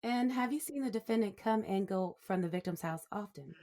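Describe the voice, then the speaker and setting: A woman's voice: medium pitch, a little fast, mellow, lightly acted. Woman acting a formal, businesslike prosecutor. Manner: formal, precise, courteous. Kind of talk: audio drama acting, courtroom questioning.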